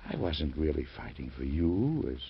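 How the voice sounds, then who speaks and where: A gravelly old president. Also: male voice, a bass voice, big pitch swings, slight background noise, heavily acted. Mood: tender. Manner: warm, fatherly, halting pauses. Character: elderly male U.S. president, stubborn, gentle. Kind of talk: 1940s radio acting. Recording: muffled vintage radio broadcast.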